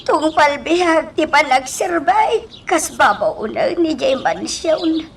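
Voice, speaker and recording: A woman's voice: high voice, expressive, takes it slowly, vocal fry, recorded from a distance, a little hiss or hum, heavily acted. A woman acting a weary, humble older mansion servant in an Ilocano radio drama, hesitant and deferential, with a Filipino accent. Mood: anxious, deferential.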